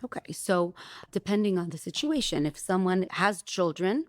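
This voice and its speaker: A woman attorney calmly lays out her points, walking through examples one by one. Her voice sits low for a woman, and the sound is close and dry. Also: normal speed, no room sound. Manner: explanatory, methodical, gives examples. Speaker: attorney guest. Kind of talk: expert interview explanation.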